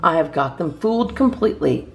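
A woman's voice: low-pitched, expressive, fairly quick, creaky. A woman hosting a storytime, reading children's poems aloud expressively with theatrical emphasis. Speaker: storytime host reading a picture book.

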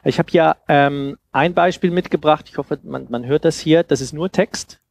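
A man in his thirties giving an informal, explanatory workshop talk into a headset mic, often halting and repeating words. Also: on the high side, vocal fry, a little mellow. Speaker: speaker giving a talk on sound design.

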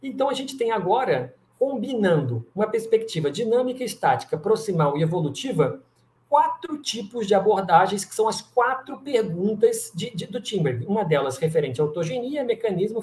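A man's voice: sits high, big pitch swings, a little fast, darkish tone. A middle-aged man giving an online lecture over slides on a video call, explaining step by step with frequent fillers and restarts. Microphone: webcam on video call. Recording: echoey room.

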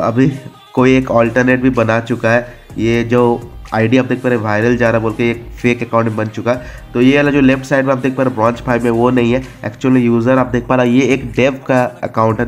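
A man with an Indian accent giving a casual, informal gaming voice-over as host, mixing in English words and repeating himself. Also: mid-range voice. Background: background music.

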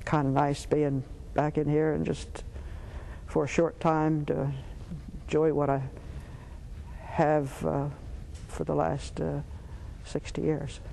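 An older woman, a farm owner, speaking haltingly with many pauses and fillers in a news interview in a greenhouse. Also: low voice, flat delivery, creaky, dark tone, a little hiss or hum, rough recording.

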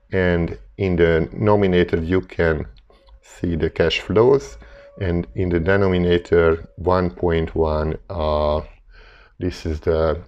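A man with a Hungarian accent, a native Hungarian speaker, walking through a calculator tutorial at his desk in careful, halting English full of fillers. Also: low-pitched, darkish tone, highs cut off. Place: desk indoors, filming paper and calculators.

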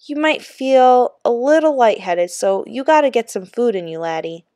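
A woman voicing a brisk, caring school nurse with a folksy manner in a storybook. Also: fairly high pitch, lively intonation, mellow, dry recording, clean studio sound, voice pushed up, natural voice. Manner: folksy, colloquial.

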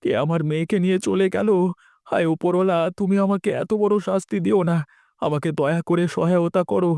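Man acting a high-voiced, pleading, distraught village father. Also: steady tone, fairly quick, vocal fry, mellow, dry recording, clean studio sound. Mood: anguished, remorseful. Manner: pleading, lamenting. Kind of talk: cartoon dialogue acting.

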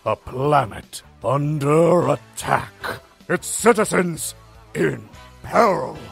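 A man's voice: high voice, vocal fry, fairly bright tone, husky, full character voice. A man playing a bombastic, self-important space superhero in a cartoon dub, declaiming theatrically like an announcer. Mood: grandiose, dramatic. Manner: pompous, theatrical announcer-like declaiming with drawn-out words. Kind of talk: cartoon comic dub acting. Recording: music behind the voice.

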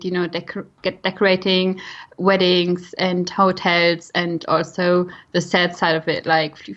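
A young woman speaks in a fairly low voice with a German accent. She tells a personal story in a conversational way, as a guest would on a podcast. The audio is slightly dull and lo-fi. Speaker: podcast guest, nutrition coach.

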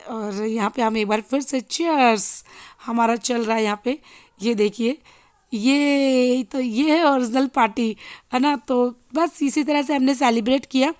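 A woman in her thirties with a hoarse voice, chatting casually to viewers in a home vlog, filmed on a handheld phone. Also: fairly high pitch, dry recording, clean studio sound. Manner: chatty, addressing viewers directly. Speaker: vlogger. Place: home kitchen and living room. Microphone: handheld phone selfie.

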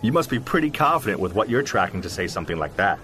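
An actor playing a sympathetic, cautious zoologist friend in an audio drama, conversational and probing. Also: male voice, lowish voice, sing-song, quick pace, pushed and bright, slight background noise. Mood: curious, friendly.

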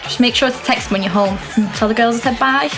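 A woman's voice: a little high, quick pace, music in the background, natural voice. A young woman playing a tipsy, appeasing girlfriend in a radio drama. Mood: evasive. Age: young adult. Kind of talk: naturalistic student radio drama acting.

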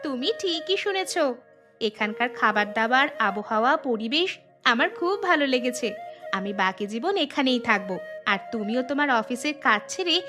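A woman voicing a spirited, stubborn young bride in a village cartoon, talking in a lively, emphatic way. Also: on the high side, moderate pace, music in the background, lightly acted. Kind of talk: cartoon voice acting.

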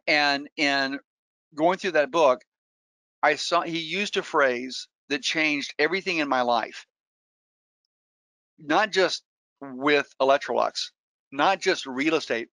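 A man's voice: fairly high pitch, bright, forceful tone, no room sound. A middle-aged man, a real estate investor, giving an earnest webinar talk and telling stories with frequent restarts. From Colombia. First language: Spanish. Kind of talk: webinar presentation.

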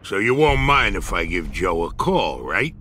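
An actor playing a smug, foul-mouthed dockside union boss in a crime video game, with an American accent and a streetwise, chummy, patronizing manner. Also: male voice, sits low, big pitch swings, measured pace, bright, forceful tone, slight background noise, full character voice. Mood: wary, probing. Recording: music behind the voice. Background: background music.

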